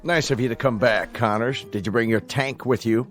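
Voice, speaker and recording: A stern army captain in a radio drama, acted by a man, full of biting sarcasm. His male voice is medium in pitch and authoritative, over a bed of music. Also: normal speed, fairly bright tone, lightly acted. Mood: dry sarcasm.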